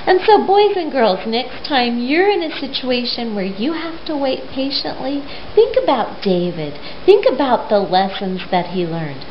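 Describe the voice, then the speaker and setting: Middle-aged female children's storyteller, very expressive delivery, simple questions and pauses for little listeners; roomy home recording. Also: on the high side. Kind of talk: storytime talk and read-aloud for young children. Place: living room at home, indoors. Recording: roomy, dull sound with faint noise.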